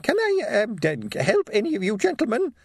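A man's voice: high-pitched, wildly swooping pitch, moderate pace, gravelly, husky, no room sound, clean studio sound, voice pushed up, exaggerated voice. Man putting on a thin, querulous little old lady. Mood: timid. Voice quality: thin, quavering. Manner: hesitant, dithering, polite.

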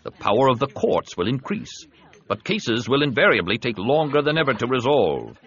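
A man voicing a big, scheming alien senator in an audiobook, with measured, persuasive delivery. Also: mid-range voice, very animated, fairly bright tone, husky, lo-fi sound, voice pushed up, exaggerated voice. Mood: firm, calculating. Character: scheming, soothing, heavyset male alien senator and host.

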